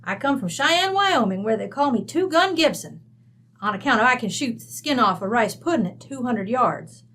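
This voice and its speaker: A woman's voice: fairly high pitch, animated, normal speed, a bit bright. A woman playing a brash, folksy, middle-aged rancher widow with an American West accent, blunt, bossy and slangy, in an old-time radio drama. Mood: brisk, hearty.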